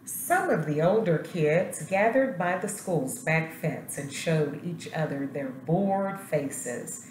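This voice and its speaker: A woman principal reading a children's picture book aloud in an expressive, deliberate storytelling way, with asides to her students. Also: low voice. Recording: roomy echo, faint background noise.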